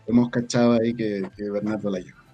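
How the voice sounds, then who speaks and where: A Chilean man talking casually as an interview guest, halting and thinking aloud as he goes. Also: on the low side, steady tone, dull audio. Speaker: guest, audio drama creator. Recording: faint music behind. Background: faint music.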